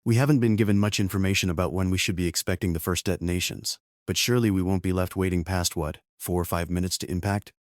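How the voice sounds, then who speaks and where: A man playing a serious, measured TV news co-anchor reporting live in a disaster drama. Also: deep voice, steady tone, dry recording, studio quality, close to an everyday voice. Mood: sober, tense. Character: sober male TV news co-anchor facing catastrophe.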